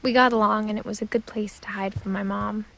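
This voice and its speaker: An actress narrating a video game as a wistful young woman recalling her family's past, soft and often close to a whisper. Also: medium pitch, steady tone, a little fast, gravelly, a little hiss or hum. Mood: reflective. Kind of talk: scripted narration. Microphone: studio voice-over.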